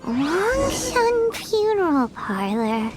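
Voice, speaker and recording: A woman voicing a tiny, childlike female companion in a video game, squeaky, blunt and puzzled. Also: very animated, drawn-out words, very noisy, full character voice. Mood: puzzled, wary. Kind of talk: cartoonish video game character acting.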